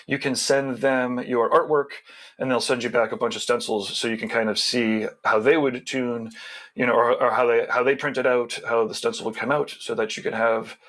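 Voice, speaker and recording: A man's voice: mid-range voice, fairly even intonation, moderate pace, a bit bright. A man on a video call who runs the show, reading out sponsor plugs in a rambling, casual way with many restarts. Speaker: show organizer.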